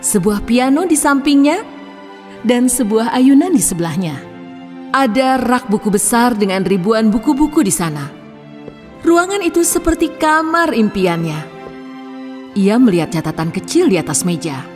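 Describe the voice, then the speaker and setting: Female storyteller for children's fairy tales: fairly high, expressive scripted read, soft music underneath. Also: normal speed, in a large hall.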